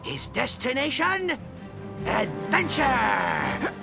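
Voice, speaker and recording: An actor playing a cheerful, roguish pirate who narrates in a hammy, theatrical storybook style for a video game. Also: male voice, very high-pitched, huge pitch swings, drawn-out words, vocal fry, heavily acted. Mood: grandly storytelling. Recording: loud music behind the voice.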